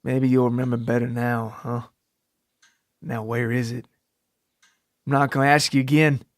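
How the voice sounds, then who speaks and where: A man's voice: medium pitch, level tone, normal speed, no room sound, clean studio sound, voice pushed down, natural voice. A man voicing a scruffy, bullying father in a narrated story, folksy, mocking and threatening. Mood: menacing. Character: scruffy, menacing, hard-drinking father, bullying and scornful.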